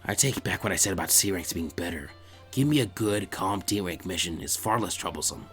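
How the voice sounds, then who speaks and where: Man doing a low, lazy grumbling teen ninja. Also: lively intonation, brisk, fairly bright tone, faint music behind. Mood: weary grumbling. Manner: weary, unimpressed.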